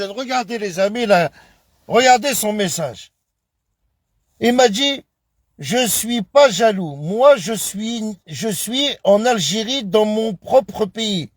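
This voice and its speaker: A man from France speaks on a live stream with a fairly high voice and a slow, deliberate pace. He talks emphatically and repeats himself often. The sound is dry and close, with no room around it. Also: a bit bright, no echo. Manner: emphatic, repetitive, addresses viewers as brother. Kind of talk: casual live-stream rant.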